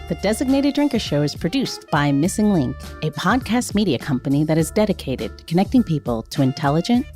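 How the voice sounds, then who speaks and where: A woman's voice: on the low side, darkish tone. A woman co-hosting a podcast in casual chat, rambling with frequent restarts and fillers. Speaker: podcast co-host.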